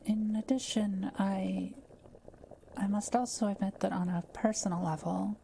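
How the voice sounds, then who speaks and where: A woman playing a kind, curious forest witch in an intimate audio roleplay, speaking gently and thoughtfully with polite, measured phrasing. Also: medium pitch, fairly even intonation, gravelly, a little hiss or hum. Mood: warm, thoughtful. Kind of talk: intimate scripted audio roleplay addressed to the listener.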